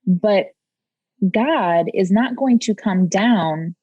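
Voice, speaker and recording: A woman's voice: medium pitch, lively intonation, very slow, mellow, breathy edge, no room sound. A woman talking as a podcast guest in an earnest way, repeating phrases for emphasis. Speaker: podcast guest, life coach. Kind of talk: casual conversation. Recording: dull lo-fi sound.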